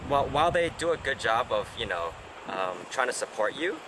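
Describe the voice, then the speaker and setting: A man's voice: mid-range voice, very animated, fairly quick. A young man hosting a casual chat, talking hesitantly with lots of fillers and restarts. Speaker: host. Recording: noisy outdoor street.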